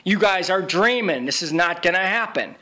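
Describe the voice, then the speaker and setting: A man's voice: high voice, expressive, moderate pace, bright, forceful tone. A man narrating an informal repair tutorial as a technician, speaking in an emphatic, boastful way.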